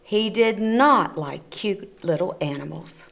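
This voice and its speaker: A woman reading a picture book aloud to children, gently explaining the pictures as she goes. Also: medium pitch, expressive, slow, creaky. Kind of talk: read-aloud storytelling for children. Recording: community TV recording.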